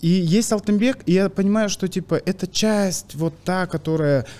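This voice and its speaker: A young man on a studio podcast mic, telling stories with animated energy. His voice sits a bit high for a man and swings from loud bursts to quiet, low asides. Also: moderate pace. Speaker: comedian guest. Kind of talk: casual podcast chat. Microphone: studio mic with headphones.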